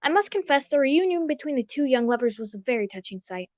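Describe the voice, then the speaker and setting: A genteel, old-fashioned gentleman doctor played by a woman in a radio mystery. The voice is high and formal, telling things in a measured way. The recording is dry and dull. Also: very animated, no echo. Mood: earnest. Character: Doctor Watson, genteel older gentleman narrator and loyal companion. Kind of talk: old-time radio drama acting.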